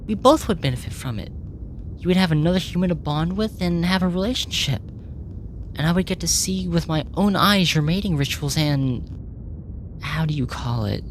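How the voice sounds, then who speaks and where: A woman playing a curious, earnest alien researcher in an ASMR roleplay, speaking formally and clinically, puzzled by human feelings. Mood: eager, fascinated. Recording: noisy with low rumble.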